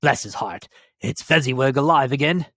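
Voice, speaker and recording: A man's voice: mid-range voice, moderate pace, a bit bright, dry recording, studio quality, voice pushed up. Casting: male reader playing humbled old man, fervent, emotional exclamations, storybook drama. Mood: excited, wistful.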